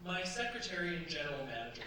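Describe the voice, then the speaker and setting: Man playing an eager, bright-voiced young bridegroom. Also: fairly high pitch, big pitch swings, quick pace, heavily acted. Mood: proud, enthusiastic. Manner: effusive, theatrical, courtly. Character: eager, doting young French bridegroom, ironmaster. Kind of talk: staged radio play acting. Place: staged performance in a cultural centre. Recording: very echoey hall, distant mics, faint hiss.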